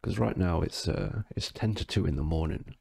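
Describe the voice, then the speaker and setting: A tipsy man reading a bedtime story in a deadpan, sweary way, stumbling now and then. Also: low voice, vocal fry, breathy edge, no echo, clean studio sound. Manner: slow, sweary, deadpan, stumbling over words. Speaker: story reader. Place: alone in a quiet, dry-sounding space.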